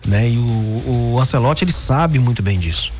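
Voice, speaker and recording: A man's voice: mid-range voice, expressive, moderate pace, a little mellow, faint music behind. A man from Brazil hosting a sports radio show, talking through football news in a chatty way, often tagging his sentences with questions. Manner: chatty, frequent "né?" tags and restarts.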